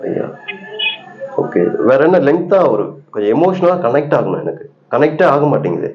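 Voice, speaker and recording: A man's voice: medium pitch, sing-song, normal speed, crowd noise. A man making a prank call as a mock-serious interviewer, asking critical questions on a muffled phone recording. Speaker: prank caller posing as acting auditioner.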